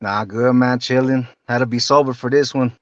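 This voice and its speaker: A man's voice: mid-range voice, steady tone, normal speed, no echo. A man joining as an interview guest over a call, talking loose and laughing, with the odd curse. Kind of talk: casual chat over a call. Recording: dull, dry call audio.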